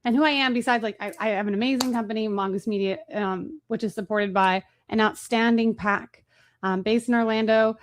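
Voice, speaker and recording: A woman hosting a marketing webinar, talking fast and casually with fillers and restarts. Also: on the high side, fairly even intonation. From Chicago, USA. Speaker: webinar host.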